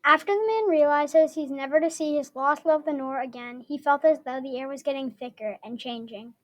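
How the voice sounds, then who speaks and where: High-pitched young woman narrating a story. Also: a little fast. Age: teens or young adult. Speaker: student reader. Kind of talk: scripted narration with acted quotes.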